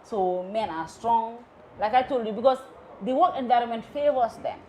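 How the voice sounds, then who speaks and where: Woman, engineer guest, emphatic, earnest, slightly high voice. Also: normal speed, warm tone, slight background noise, low-quality recording. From The Gambia. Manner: earnest and emphatic, slipping local words into her English. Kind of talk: live TV interview.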